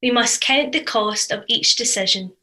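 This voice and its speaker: A woman's voice: fairly high pitch, normal speed, pushed and bright. A woman reading a scripted devotional reflection as one of a church's readers.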